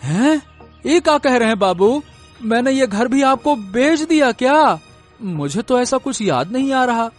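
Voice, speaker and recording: A man's voice: very high-pitched, lively intonation, a little slow, faint music behind. A man voicing a gullible village farmer in a cartoon story, with a bewildered, rustic and at times blustering manner. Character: gullible, simple-minded village farmer father.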